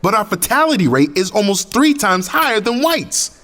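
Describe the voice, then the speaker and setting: An actor playing an intense, embittered young community activist in an audio drama, impassioned and slangy. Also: male voice, sits high, very animated, normal speed, fairly bright tone, big-room sound. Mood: pointed.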